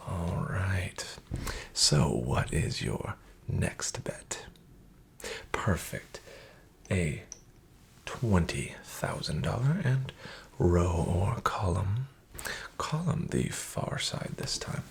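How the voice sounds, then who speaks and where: A man playing a sly, gravelly casino dealer. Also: low-pitched, animated, drawn-out words, heavily acted. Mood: sly coaxing. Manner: soft-spoken, half-whispered, coaxing and falsely friendly. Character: sly, smooth-talking male casino card dealer. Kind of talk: soft-spoken ASMR roleplay. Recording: audible background noise with card shuffling.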